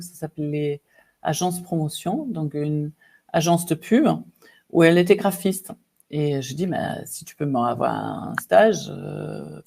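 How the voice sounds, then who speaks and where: A woman in her fifties from Germany with a German accent, a coach telling her life story as a podcast guest, lively with many fillers. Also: low-pitched, vocal fry. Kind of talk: casual storytelling interview.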